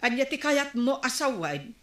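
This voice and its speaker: A woman's voice: high voice, sing-song, moderate pace. A woman playing a plain-spoken village wife with an Ilocano accent in a radio comedy drama. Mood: questioning. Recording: roomy, echoing studio sound.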